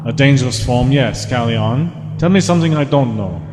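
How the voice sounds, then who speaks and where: An actor playing a sarcastic, defiant time-traveller's companion in a sci-fi audio drama, quick with wry retorts. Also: male voice, medium pitch, expressive, background noise, natural voice. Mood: defiant, probing. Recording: echoey reverberant space, music behind.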